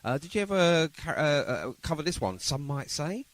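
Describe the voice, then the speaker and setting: A man's voice: fairly high pitch, very animated, moderate pace, a bit bright, dry recording. A man hosting a podcast in a casual, chatty and jokey style. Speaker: podcast host.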